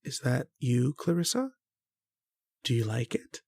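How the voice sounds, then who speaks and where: An actor playing an earnest, kindly struggling writer who narrates an old-time radio horror drama in a reflective, hesitant way. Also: male voice, mid-range voice, sing-song, unhurried, vocal fry, darkish tone, breathy edge, dry recording, studio quality, full character voice. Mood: tender, hushed.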